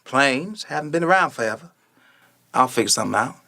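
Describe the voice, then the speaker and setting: An actor playing a sullen, sensitive and skeptical younger brother in a radio play. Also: male voice, mid-range voice, lively intonation, a little fast, creaky, bright, forceful tone, dry recording, studio quality.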